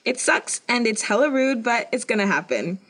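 A woman's voice: on the high side, sing-song, moderate pace, a bit bright. Upbeat young woman, casual slang. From United States. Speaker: co-host. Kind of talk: casual scripted web video talk.

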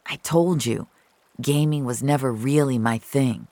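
A woman playing a low-key, apologetic young man in an audio drama, speaking in a subdued, casual, hesitant way. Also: measured pace, warm tone, dry recording. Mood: deflated. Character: Soren, low-key, apologetic young male online friend.

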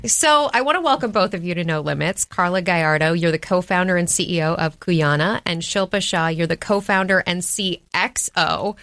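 A woman's voice: lowish voice, normal speed, hardly any pauses, a bit bright, dry recording. A woman hosting an interview in a studio, chatty and upbeat, laughing often. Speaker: podcast host. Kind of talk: casual interview chat.

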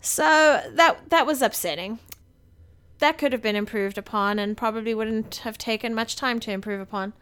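High-pitched, opinionated woman podcaster. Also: moderate pace, fairly bright tone, smooth. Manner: opinionated, emphatic. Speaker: podcast co-host. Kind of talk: casual film review chat.

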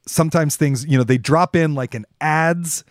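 A man's voice: fairly high pitch, moderate pace, dry recording, studio quality. A man co-hosting a podcast, talking casually and emphatically about creative work, with informal and sometimes salty language. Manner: emphatic, informal, mildly profane. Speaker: podcast co-host and writer.

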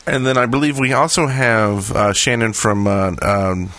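A man's voice: medium pitch, steady tone, normal speed, a bit bright, no echo. A man hosting a gaming podcast in a casual, chatty style. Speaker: podcast host.

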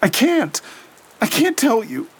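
A man's voice: sing-song, slow pace, slightly rough, full character voice. A man voicing a frightened young woman who pleads urgently through tears. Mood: desperate. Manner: raised pitch, pleading and urgent.

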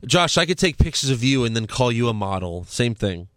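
A man's voice: mid-range voice, sing-song, a little fast, fairly bright tone. A man hosting a casual podcast, teasing his co-host and reading out plugs. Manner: dry teasing, reads fan questions and show plugs.

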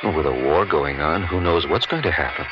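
A man's voice: rumbling low, a little fast. An actor in a radio drama playing an evasive married man who is leaving his mistress. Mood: placating, impatient. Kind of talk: 1970s radio drama acting. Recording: dull radio recording over music.

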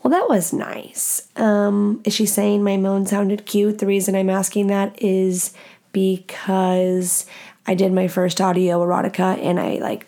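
A woman with a deep, nasal voice hosting a podcast in a blunt, profane, self-mocking casual monologue. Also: warm tone. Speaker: podcast host.

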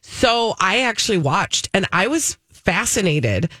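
A woman's voice: mid-range voice, sing-song, measured pace, fairly bright tone, no room sound. An American woman co-hosting a radio show, telling stories in a casual, chatty and emphatic way. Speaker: fill-in radio co-host.

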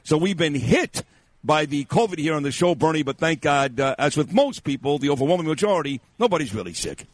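A man's voice: on the high side, expressive, no echo. A male radio host chatting brashly and joking, mixing news talk and sports updates. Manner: brash, joking, rapid asides.

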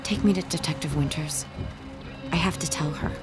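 A woman plays an earnest young artist troubled by visions in an audio drama. Her voice sits slightly low, halting and intense, over loud music. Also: natural voice. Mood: distressed. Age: young adult.

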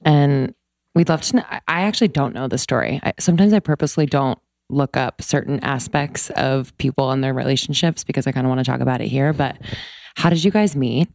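A woman co-hosting a podcast in casual chat, bubbly and full of quick asides. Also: very deep voice, gravelly, a little mellow, dry recording. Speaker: podcast co-host.

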